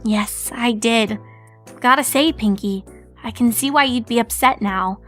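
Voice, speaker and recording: A woman voicing a bookish, earnest unicorn mare in a fan story reading, measured and slightly prim. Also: a little high, fairly bright tone, soft background music.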